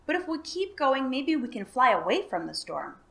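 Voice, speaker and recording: A bright, excited little boy, earnest and brave, his voice jumping high when he shouts over the storm in a storybook, as a woman reads him. He sounds cheerful and eager. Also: moderate pace, vocal fry, full character voice.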